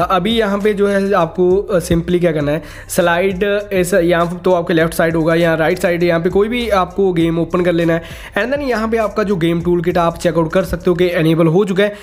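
High-pitched man giving a phone tutorial. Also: fairly even intonation, fairly quick. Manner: mixes English words and stock phrases into his speech. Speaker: tech tutorial host. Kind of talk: casual step-by-step phone tutorial voice-over.

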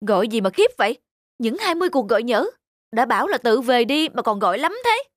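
A man voicing a carefree young husband in an animated drama, speaking in a casual, expressive way. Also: extremely high pitch, brisk, dry recording, soft background music. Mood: annoyed. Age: young adult. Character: carefree young husband, easily irritated by his wife. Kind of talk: animated moral drama dubbing.

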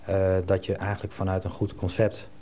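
Deep voiced dutch guy, mellow, hesitates a lot, faint hum behind. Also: monotone, fairly quick. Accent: Netherlands Dutch. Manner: hesitant, many long hesitations. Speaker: interior designer being interviewed. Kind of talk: casual interview answers. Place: indoor design studio.

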